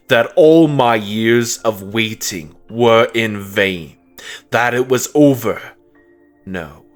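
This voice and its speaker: Man voicing a slow, brooding fallen god, low-pitched. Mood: bitter, defeated. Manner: slow, brooding, contemptuous monologue. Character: bitter fallen male god, menacing, imperious, brooding in mortal body. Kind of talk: dramatic comic dub narration. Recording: music under the voice.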